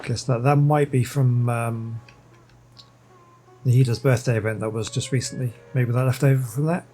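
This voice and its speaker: A man's voice: mid-range voice, fairly even intonation, normal speed, mellow, faint music behind. A man giving casual, easygoing, rambling let's play commentary as a gaming channel host.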